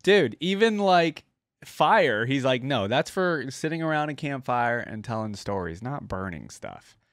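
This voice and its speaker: Casting: enthusiastic male reaction host, mid-pitched, lively intonation, dry close-miked sound. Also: a little slow, dry recording. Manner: enthusiastic, informal. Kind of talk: casual reaction commentary.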